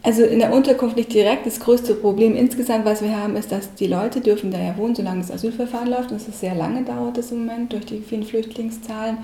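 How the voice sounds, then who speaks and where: A woman's voice: a little high, fairly even intonation, fast talker, hardly any pauses, warm tone. A woman social worker explaining things informally in spontaneous interview answers. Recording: echoey room, faint background noise.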